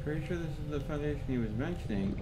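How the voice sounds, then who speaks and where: A man's voice: a little high, lively intonation, quick pace. A man giving casual, chummy gameplay commentary as a game streamer, chatting to his viewers. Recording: loud game ambience and vehicle noise.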